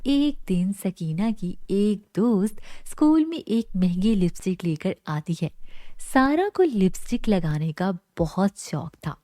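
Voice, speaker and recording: A woman's voice: medium pitch, very animated, slow pace, warm tone, no room sound, studio quality. A woman storyteller reading scripted narration for a children's story.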